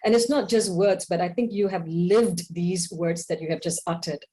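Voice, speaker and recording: A woman's voice: lowish voice, steady tone, moderate pace, mellow. Effusive woman hosting a podcast interview. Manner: effusive, appreciative. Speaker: podcast host. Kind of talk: conversational interview.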